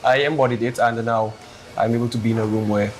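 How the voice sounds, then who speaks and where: Young guy guest on a podcast, calm and thoughtful, talks earnest, lots of hiss behind him. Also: mid-range voice. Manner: measured and reflective, earnest. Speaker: guest vocalist and reporter. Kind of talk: casual interview answers. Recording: noisy background hiss.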